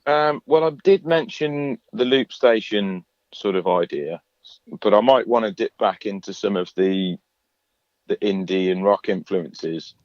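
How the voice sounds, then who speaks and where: A singer-songwriter guest in a radio interview, talking in a relaxed, chatty way with lots of fillers and restarts in his low voice. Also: unhurried, dry recording, muffled recording. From England.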